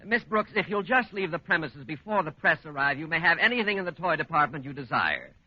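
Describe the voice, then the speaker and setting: A pompous American store boss in an old radio comedy. His voice is rough and high for a man, blustering and easily flustered. The sound is a muffled 1940s broadcast. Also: big pitch swings, brisk. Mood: flustered, indignant. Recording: band-limited scratchy 1940s radio recording.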